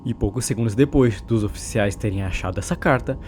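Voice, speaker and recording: A man's voice: on the low side, brisk, darkish tone, slight background noise. A man with a Brazilian accent narrating a recap of a horror story.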